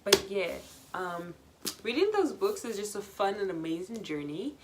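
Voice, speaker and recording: A young adult woman talking casually and quickly to camera at home, chatty, laughing often, with lots of ums and repeated words. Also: medium pitch, animated, very noisy. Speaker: vlogger.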